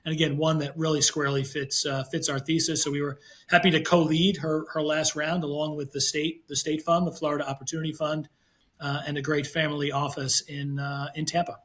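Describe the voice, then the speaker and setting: A man answering as an investor guest in an interview, conversational and halting, often repeating words and phrases. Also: fairly high pitch, little pitch movement, a bit bright.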